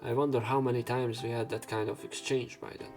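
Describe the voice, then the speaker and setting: A man's voice: mid-range voice, level tone, a little fast, darkish tone. A man reading visual novel text aloud as a let's play narrator, with casual asides. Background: visual novel game music and sound effects.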